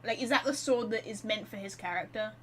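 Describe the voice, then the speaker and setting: A woman's voice: mid-range voice, a little fast, a bit bright, a little hiss or hum. A woman game streamer giving casual live commentary with offhand, muttered reactions.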